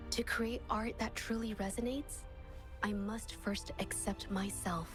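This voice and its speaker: An actress playing a gentle, resolute young woman painter in a video game, speaking sincerely and solemnly. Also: a little high. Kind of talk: dramatic video game voice acting.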